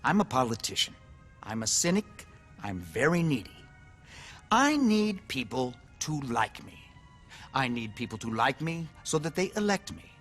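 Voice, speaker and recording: An actor playing a smug, cynical, needy politician in a video game, with a pompous, glib and effusive manner. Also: male voice, medium pitch, very animated, moderate pace, fairly bright tone, soft background music. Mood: candid, impatient. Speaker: politician character. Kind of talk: video game cutscene acting.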